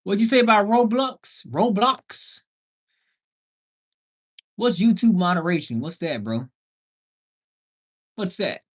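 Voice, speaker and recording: A man's voice: sits high, lively intonation, takes it slowly, long pauses. A man hosting a casual livestream, talking in a slangy, playful way with emphatic exclamations. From Bahamian background. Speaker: livestream host and house flipper.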